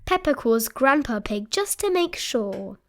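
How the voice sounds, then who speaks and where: A woman narrates a children's storybook in a high, very animated voice. She takes her time with the sentences, and there is faint noise behind her. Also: unhurried. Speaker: storybook reader. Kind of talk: expressive read-aloud narration for small children.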